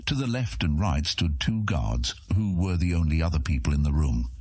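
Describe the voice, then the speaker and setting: A man's voice: a bass voice, lively intonation, normal speed, a little mellow, breathy edge, dry recording, studio quality. A man narrating a fantasy audiobook in a dramatic, scripted storytelling style.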